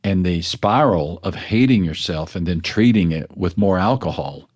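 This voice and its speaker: A man with a low, deep voice, talking as a pastor in an interview. He tells his story in an earnest, self-deprecating way, restarting his sentences as he thinks. The feel is relaxed, reflective conversation. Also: normal speed, breathy edge. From West Texas. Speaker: pastor and author, interview guest.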